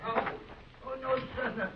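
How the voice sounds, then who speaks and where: High-voiced confused old frontier pa. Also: male voice, steady tone, takes it slowly, crowd noise. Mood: confused, distressed. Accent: American. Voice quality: rambling, folksy speech. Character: confused, rambling, childlike old frontier father. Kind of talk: 1950s radio drama acting. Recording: muffled vintage radio broadcast.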